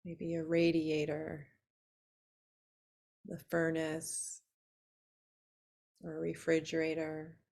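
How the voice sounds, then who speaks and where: Slow-speaking woman guiding a relaxation meditation. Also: deep voice, monotone, creaky, a little mellow. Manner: soothing, unhurried, with long pauses between short phrases. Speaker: yoga nidra meditation teacher.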